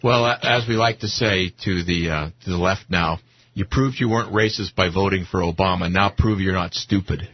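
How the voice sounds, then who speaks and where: Low, raspy man on radio. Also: moderate pace, no room sound. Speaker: talk radio guest commentator. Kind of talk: casual political discussion. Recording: dull lo-fi radio audio.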